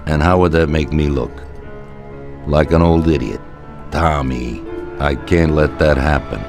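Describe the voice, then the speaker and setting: Game casting: aging Italian-American don, rock-bottom pitch, deliberate and authoritative, faint music behind. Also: male voice. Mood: calm, commanding. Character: older Italian-American mafia boss, calm and commanding. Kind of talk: video game cutscene dialogue.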